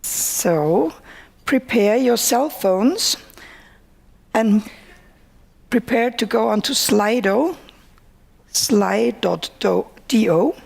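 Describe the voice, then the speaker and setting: A woman chairing a conference session, calmly walking the audience through each step. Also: fairly high pitch, creaky. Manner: slow, instructing the audience. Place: conference hall.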